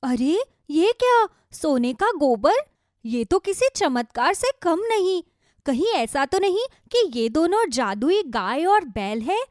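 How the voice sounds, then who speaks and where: A woman playing an excitable, good-hearted villager's wife in an animated folk tale, speaking with exclamatory energy. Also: extremely high pitch, measured pace, no room sound, clean studio sound, exaggerated voice. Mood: amazed.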